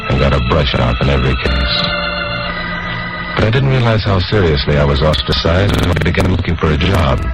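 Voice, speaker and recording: A man's voice: extremely low pitch, animated, brisk, poor audio. An American man acting as a bitter, earnest blacklisted broadcaster who narrates his own story in an old radio drama. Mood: brooding, wry. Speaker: narrator and lead actor. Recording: old radio recording with loud organ music.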